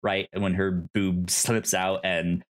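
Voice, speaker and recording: A man co-hosting a podcast in casual movie chat, with a halting, hesitant delivery. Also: low-pitched, flat delivery. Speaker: podcast co-host.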